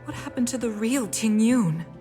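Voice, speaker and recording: A woman playing a dignified, grieving middle-aged leader in a video game, speaking in a formal, measured and solemn way. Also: a little high, lively intonation, dark tone, lightly acted. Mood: stunned, grieving. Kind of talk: scripted video game voice acting. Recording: game music under the voice. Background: game music.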